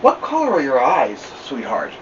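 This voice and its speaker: A man's voice: medium pitch, wildly swooping pitch, moderate pace, far from the mic, highs cut off, slight background noise. A man voicing a gushing, sugary neighbour lady in a storybook reading. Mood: cooing.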